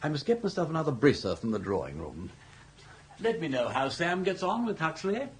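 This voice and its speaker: An actor playing a suave older English gentleman schemer with an upper-class accent and jaunty, ornate phrasing in a radio comedy. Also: on the high side, sing-song, a little fast, background noise. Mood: roguish. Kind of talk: radio comedy drama acting.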